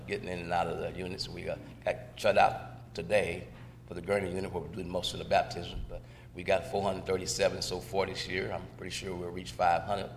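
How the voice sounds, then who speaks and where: Hoarse deep-voiced chaplain. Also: male voice, slight background noise. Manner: plain folksy report with fillers. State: hoarse, losing his voice. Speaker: jail chaplain.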